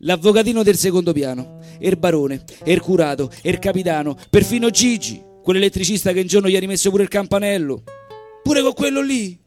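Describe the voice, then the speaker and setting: A man's voice: high voice, lively intonation, a little fast, a little mellow. A man with a Roman accent voicing two jealous, boastful dead husbands bickering in an indignant, gossipy way during a live verse recital. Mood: gossipy, exasperated. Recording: live evening show recording with music.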